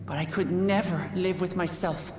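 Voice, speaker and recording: A woman's voice: fairly low pitch, fairly even intonation, moderate pace, noisy background, lightly acted. A woman voicing an earnest, resolute young royal heir in a video game. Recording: long echo, game music behind.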